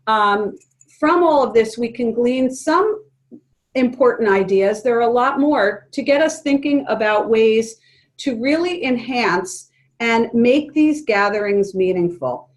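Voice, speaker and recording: Calm woman presenting to teachers online. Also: fairly high pitch, measured pace. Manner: calm, gentle, with frequent ums. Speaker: presenter leading an educators' forum. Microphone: video call.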